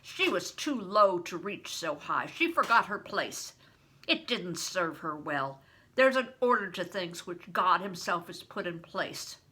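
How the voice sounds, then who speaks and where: A woman's voice: lowish voice, big pitch swings, a little slow, bright, forceful tone, husky, full character voice. Grandmother voicing a scornful bearded steward. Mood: haughty. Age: older. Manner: scornful, haughty. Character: cruel bearded medieval steward, contemptuous man.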